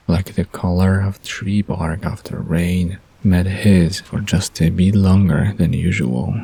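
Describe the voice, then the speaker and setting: A man's voice: deep voice, creaky, dark tone, husky. A man narrating a scripted romantic bedtime story as the narrator of an audio drama.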